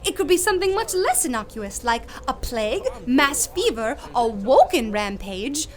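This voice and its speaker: A woman's voice: high-pitched, very animated, moderate pace. A woman playing a chirpy eccentric naturalist lady. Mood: quirky. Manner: chirpy, rambling, says odd things matter-of-factly. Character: eccentric cheerful woman naturalist, odd and blunt. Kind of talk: audio drama acting.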